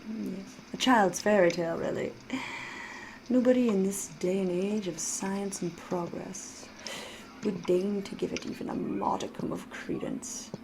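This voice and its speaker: Slow, whispery vampire seductress played by a woman. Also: medium pitch, steady tone, noisy background, natural voice. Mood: coyly amused. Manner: hushed, theatrical, drawn-out delivery slipping into breathy whispers. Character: flirtatious, menacing female vampire, refined and predatory. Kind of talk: ASMR roleplay, scripted character monologue.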